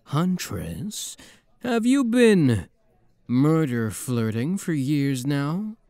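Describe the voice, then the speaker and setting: A man's voice: on the high side, very animated, extremely slow, dark tone, dry recording, studio quality, full character voice. A man playing a playful, flirty demon prince with a teasing, theatrical manner in an intimate ASMR roleplay.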